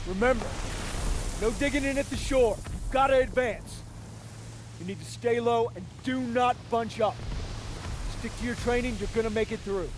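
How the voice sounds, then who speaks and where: A man's voice: extremely high pitch, moderate pace, background noise. An actor playing an infantry sergeant giving firm, clipped instructions to his men in a war game. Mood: steady. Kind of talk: game cutscene acting.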